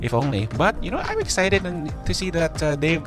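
A man's voice: a little high, very animated, brisk. A man hosting a morning radio show in a chatty, rambling way. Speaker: radio host. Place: small radio studio. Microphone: close studio microphone. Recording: music behind the voice.

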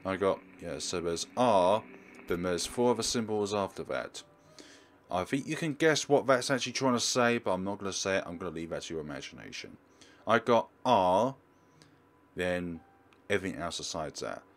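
A man's voice: sits low, lively intonation, moderate pace. A man giving a walkthrough commentary as he plays a game. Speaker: let's player.

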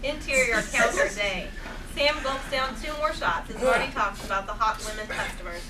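A woman's voice: a little high, normal speed. A woman narrating scene headings and stage directions at a live script table read. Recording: distant mic, clear room noise.

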